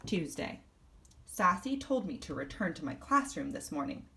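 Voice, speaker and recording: A woman reading a children's storybook aloud in a deliberate, expressive way. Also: low voice, creaky, slight background noise. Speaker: storybook reader.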